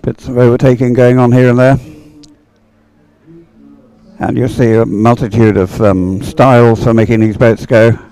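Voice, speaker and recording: A man giving unhurried, chatty live race commentary in an encouraging manner. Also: medium pitch, level tone, dark tone, clear, resonant tone, poor audio. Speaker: sports commentator.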